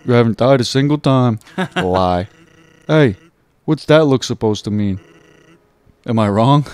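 A man playing a video game on a let's play, reading a character's dialogue aloud in a relaxed, jokey way. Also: mid-range voice, measured pace, clean tone, dry recording. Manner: laid-back, jokey, reads lines aloud. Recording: faint game music behind.

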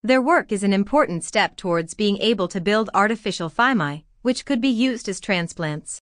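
Female reader for exam samples; medium pitch, measured scripted delivery, dry recording. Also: lively intonation, no echo. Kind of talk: scripted read-aloud.

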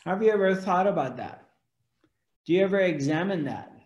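A man's voice: sits high, animated, normal speed, a little mellow, lo-fi sound. A man in his forties giving a spiritual talk to a webcam at home, asking question after question and gesturing broadly. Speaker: spiritual teacher. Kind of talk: spiritual discourse to camera. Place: home room indoors. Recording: echoey room.

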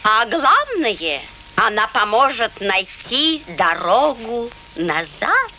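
A woman voicing a wise old tortoise in an animated film, speaking in a deliberate, thoughtful way with pauses. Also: fairly high pitch, slight background noise, exaggerated voice. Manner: slow, deliberate, pondering with pauses. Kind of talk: animated film character acting.

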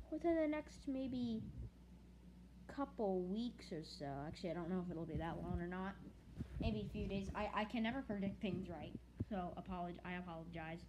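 An American speaker with a woman-range voice giving a casual, unscripted update in a hesitant, rambling way, often repeating words. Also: mid-range voice. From United States. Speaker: hobbyist video creator. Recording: clearly noisy with clicks.

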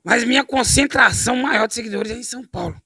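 A young man from Bahia with a Bahian accent, hoarse from a cold, chatting exuberantly outdoors into an interviewer's handheld mic. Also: very high voice, fast, sharp tone, dry recording, studio quality. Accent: Bahian Brazilian Portuguese. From Bahia, Brazil. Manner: exuberant, chatty, adds "né?" often. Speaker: impressionist. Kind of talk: street interview, in character. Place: outdoors by a house wall, street. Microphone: handheld mic held by interviewer.

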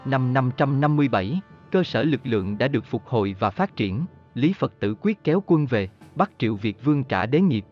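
A man's voice: mid-range voice, animated, normal speed, hardly any pauses, lo-fi sound. A man narrating history from a script in a formal storytelling manner. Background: background music.

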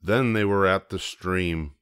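An older man narrating a children's story expressively, with deliberate pauses for effect. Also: deep voice, a bit bright, smooth, dry recording, studio quality.

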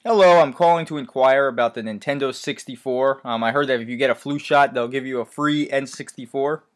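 A man making a deadpan prank phone call, speaking in an earnest, polite and hesitant way. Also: medium pitch, fairly quick.

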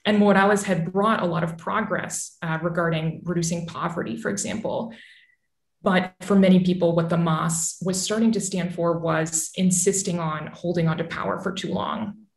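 A woman's voice: fairly low pitch, fairly even intonation. Woman analyst in an echoey room. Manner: articulate, measured, structured explaining. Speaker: newsletter author and analyst guest. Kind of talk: explanatory political analysis on a video call. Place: small home room with bookshelf, indoors. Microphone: video call. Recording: roomy, echoing video call audio.

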